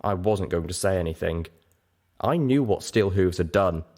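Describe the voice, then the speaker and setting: Male audiobook narrator, low register, dramatic first-person delivery with expressive phrasing. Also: moderate pace.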